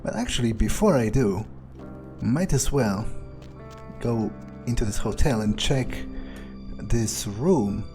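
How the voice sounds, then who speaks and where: A man thinking aloud in character during a video game playthrough, halting and matter-of-fact, with casual swearing. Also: mid-range voice, expressive, a little mellow, faint music behind. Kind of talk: in-character musing aloud, thinking through events.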